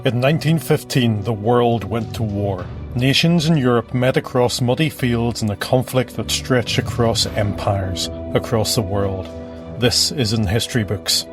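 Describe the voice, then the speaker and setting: A man narrating a scripted podcast promo in a measured, earnest delivery. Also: mid-range voice, music in the background.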